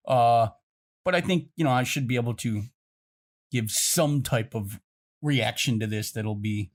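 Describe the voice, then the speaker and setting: A man's voice: medium pitch, unhurried. A man co-hosting a podcast in casual chat, wry and wordy. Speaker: podcast co-host.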